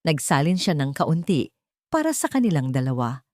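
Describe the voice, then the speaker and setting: Woman storyteller, low dry voice, Filipino accent. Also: big pitch swings, normal speed, darkish tone, no echo. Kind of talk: dramatic scripted story narration.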